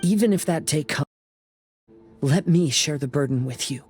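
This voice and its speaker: Game son role: actress as a devoted young boy, lowish voice, soft-spoken, earnest, light music behind. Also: normal speed, natural voice. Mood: gentle resolve. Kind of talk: dramatic video game voice acting.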